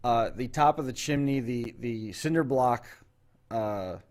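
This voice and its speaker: A man hosting a talk show in casual chat, telling stories in an unhurried, rambling way. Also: mid-range voice, darkish tone.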